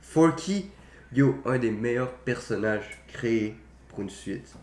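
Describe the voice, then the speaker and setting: A man's voice: mid-range voice, lively intonation, slow pace, darkish tone. A young man chats casually about films, opinionated and slangy, with mild swearing. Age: young adult. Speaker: podcast co-host. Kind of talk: casual film chat. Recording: roomy echo, faint background noise.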